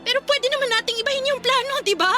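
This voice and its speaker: A woman's voice: very high voice, rapid-fire. An actress playing an emotional, pleading young pregnant girlfriend who stands her ground in a Filipino radio drama. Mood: anguished. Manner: emotional, confrontational. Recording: music bed under the voice.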